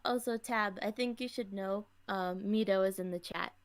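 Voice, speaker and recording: A woman's voice: medium pitch, normal speed. A woman speaking casually in a group podcast chat.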